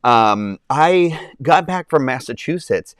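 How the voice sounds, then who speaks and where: A man hosts a relaxed live stream from home, talking in a nasal, congested voice from a summer cold. He rambles with frequent ums and restarts while answering his chat. His voice is smooth and clear, recorded dry and close on a clip-on mic. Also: medium pitch, slow, dry recording. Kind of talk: casual chat with live chat viewers. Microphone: clip-on mic under his shirt.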